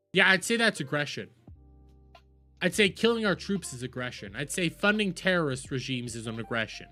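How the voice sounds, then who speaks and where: A man's voice: on the high side, bright, forceful tone. A man giving casual, rambling livestream commentary and reactions in a blunt, emphatic, profane way.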